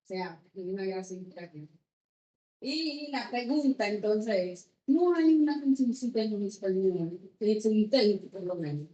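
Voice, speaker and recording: A woman's voice: medium pitch, animated, a little slow, mellow. A woman in the audience offers earnest compliments and asks the guest a question. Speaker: audience member asking a question. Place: cultural venue hall with an audience. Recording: echoey, dull hall sound.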